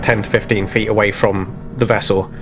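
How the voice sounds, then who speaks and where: A man's voice: fairly low pitch, flat delivery, normal speed. A man speaking casually as a tabletop game player, narrating what his character does. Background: music under the voice.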